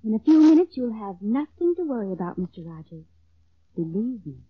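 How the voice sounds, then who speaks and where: A woman's voice: on the high side, big pitch swings, fairly quick. A woman playing a cool, scheming accomplice with a falsely reassuring manner, in vintage radio crime drama style. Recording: tinny, noisy old-time radio recording.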